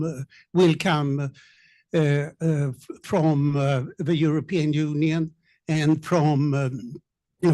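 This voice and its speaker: Panelist, male, raised pitch, dry close sound, hesitant delivery full of uhs. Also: dark tone, dry recording. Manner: halting, many fillers. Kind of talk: roundtable remarks. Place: press club conference room.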